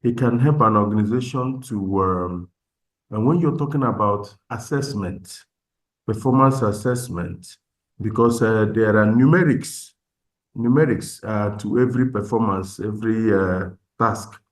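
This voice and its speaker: Hesitant, lowish-voiced male participant. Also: a little slow. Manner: hesitant, repeats words as he thinks. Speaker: session participant. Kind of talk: unscripted comment in an online session.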